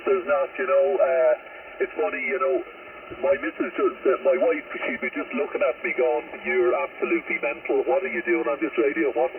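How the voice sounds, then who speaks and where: A man's voice: fairly high pitch, gravelly, recorded from a distance. A middle-aged man with an Irish accent chatting casually over the radio in a conversational way full of little asides. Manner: chatty, frequent "you know" asides. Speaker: amateur radio operator. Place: out on a high hill spot. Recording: crackly narrow-band radio link, faint noise.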